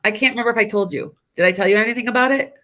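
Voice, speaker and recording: A woman's voice: mid-range voice, very fast, fairly bright tone. A woman in her fifties or older, hosting a psychic talk show, chatty and rambling, with a stuffy nose. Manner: chatty, rambling, laughs often. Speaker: psychic radio show host. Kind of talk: casual spiritual talk.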